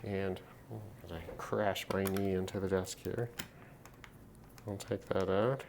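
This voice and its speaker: A man giving casual, unscripted commentary on a hands-on repair, halting and musing to himself as he works. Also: low voice, steady tone. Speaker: host of a hobby radio repair video. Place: at a desk, indoors. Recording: clear background noise, handling clicks.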